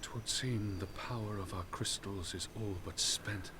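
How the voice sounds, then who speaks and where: A man's voice: lowish voice, fairly even intonation, a little slow, lightly acted. A man playing a grave companion in a fantasy video game, speaking in archaic English. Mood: grim, hesitant. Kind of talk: scripted video game voice acting. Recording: clear background noise.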